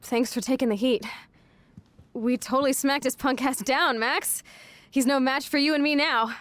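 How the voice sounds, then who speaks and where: A woman's voice: high voice, normal speed, a bit bright. An actress playing a rebellious, foul-mouthed teenage punk girl in a video game, brash, slangy and sarcastic. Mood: triumphant.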